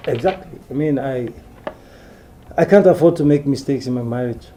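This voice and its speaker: Actor as a mellow-voiced young Nigerian prince. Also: male voice, medium pitch, moderate pace, slight background noise, close to an everyday voice. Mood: amused, earnest. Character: easygoing young crown prince seeking a bride. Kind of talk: naturalistic Nollywood film acting.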